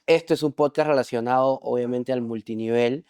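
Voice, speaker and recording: Upbeat male podcast host, smooth voice. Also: medium pitch, steady tone, darkish tone, no room sound, studio quality. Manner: upbeat and encouraging, quick questions. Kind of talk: interview hosting.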